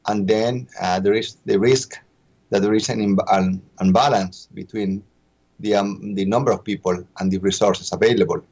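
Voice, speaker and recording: Guy, lowish voice, expert being interviewed, explains carefully and a bit haltingly. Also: steady tone, darkish tone, breathy edge, highs cut off. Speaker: interview guest, expert official.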